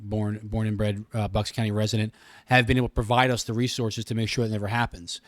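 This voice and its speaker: A man's voice: on the low side, no room sound. An American man in his thirties explaining things in a chatty, wordy way as a guest in a casual interview. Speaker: radio station communications director, interview guest.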